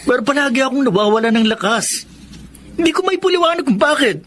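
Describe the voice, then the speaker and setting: Casting: possessive Filipino husband, very high bright voice, insistent, emotive, self-excusing melodrama style. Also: male voice, lively intonation, fast talker, slight background noise. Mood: pleading.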